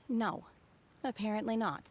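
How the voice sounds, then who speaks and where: An actress playing an aloof, blunt young woman warrior in a video game, speaking in a measured deadpan. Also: mid-range voice, heavy background noise, natural voice. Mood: flat, matter-of-fact. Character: aloof, blunt young woman martial disciple, coldly menacing. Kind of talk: understated video game cutscene acting. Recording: background music under the voice.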